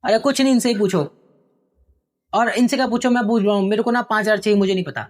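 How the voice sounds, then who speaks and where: A man voicing an angry, entitled young son who shouts at his parents in outbursts with a raised voice. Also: high-pitched, steady tone, close to an everyday voice. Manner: indignant, raised voice, rapid outbursts.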